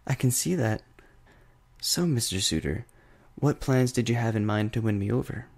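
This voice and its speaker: A man voicing a gentle, amused pony princess from a fantasy story, speaking politely and regally. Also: mid-range voice, normal speed, lightly acted. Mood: curious, gracious.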